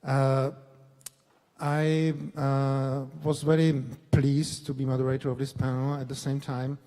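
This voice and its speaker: A man moderating a conference panel, speaking haltingly with many fillers. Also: medium pitch, monotone, dark tone. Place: large concert hall on stage. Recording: long hall reverb.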